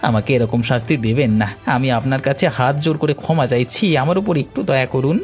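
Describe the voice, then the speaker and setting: A man's voice: mid-range voice, fairly quick, a little mellow, natural voice. A man voicing a cowardly, scheming villager in a Bengali cartoon, pleading and whining. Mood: begging. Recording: music behind the voice.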